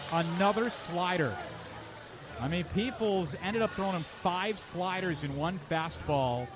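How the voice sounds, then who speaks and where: A man calling live baseball on the radio as play-by-play announcer, switching between clipped pitch calls and flowing commentary. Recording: clear outdoor ballpark noise.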